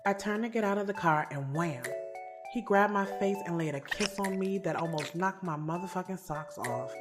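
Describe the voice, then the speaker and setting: A woman's voice: on the low side, normal speed, lightly acted. A woman playing a sassy, candid young woman in an audio drama, telling her story in a casual, slangy way, swearing freely. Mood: flustered. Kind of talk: audio drama first-person narration and dialogue. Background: music.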